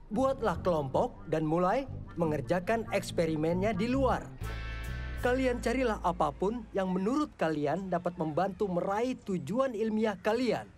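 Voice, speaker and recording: A woman plays a cartoon science teacher setting out instructions in a measured classroom manner. The voice is mid-range, with music clearly behind it. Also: medium pitch, lively intonation, a little mellow, close to an everyday voice. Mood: instructive. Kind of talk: animated children's cartoon dub.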